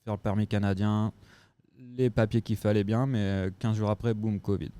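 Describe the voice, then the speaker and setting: A French man with a light Québécois touch, telling his story in a casual chat as a podcast guest, with lots of pauses and filler sounds. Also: fairly low pitch, smooth, dry recording. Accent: French with a picked-up Québécois touch. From France. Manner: relaxed, lots of filler hesitations. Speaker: guest, co-owner of a restaurant.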